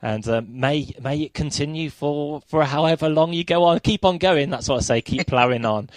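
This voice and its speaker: A man hosting a breakfast radio show who asks questions haltingly, with lots of ums and restarts, and encourages his guest. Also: on the high side, no echo. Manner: hesitant, many ums and restarts, warmly encouraging. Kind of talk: radio interview.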